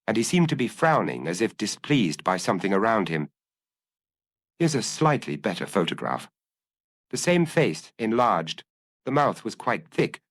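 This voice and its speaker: Man reading as an eager, animated press photographer. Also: lowish voice, no room sound. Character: eager male press photographer explaining his findings.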